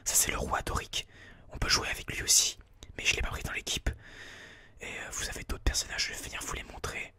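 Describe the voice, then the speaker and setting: A person whispering informal, explanatory ASMR gameplay commentary in a breathy, unvoiced voice, a little hesitant, close on a binaural mic. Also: brisk, pushed and bright, no echo. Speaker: ASMR gaming video host. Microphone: close binaural microphone.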